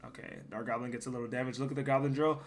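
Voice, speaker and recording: A young man, hoarse from losing his voice, giving casual, profane commentary over his gameplay and rambling between plays, sometimes breaking into loud yells. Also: medium pitch, fairly even intonation, racing. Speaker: gaming streamer.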